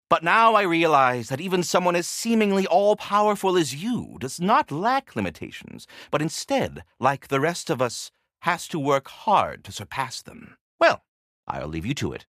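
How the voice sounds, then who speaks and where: Fussy, pompous squirrel with wildly swooping pitch. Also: male voice, a bit bright, dry recording, clean studio sound, heavily acted. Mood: earnest, wistful. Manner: pompous, florid, theatrical diction. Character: fussy, erudite, polite giant squirrel keeper of the world tree. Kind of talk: scripted video game character dialogue.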